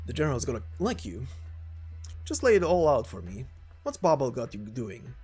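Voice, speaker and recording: An amateur voice actor playing a brash, excitable mercenary recruiter with a loud, swaggering manner in a game story. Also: mid-range voice, normal speed, breathy edge. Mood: thrilled, pushy. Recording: music under the voice.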